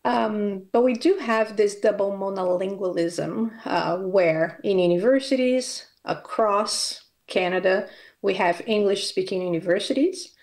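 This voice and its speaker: A woman from Brazil delivers a university conference talk in a middle-pitched voice. She moves through her points with many ums and repeated words. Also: a little slow, a little mellow. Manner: hesitant, many fillers and restarts. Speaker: university academic speaker. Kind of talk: conference presentation.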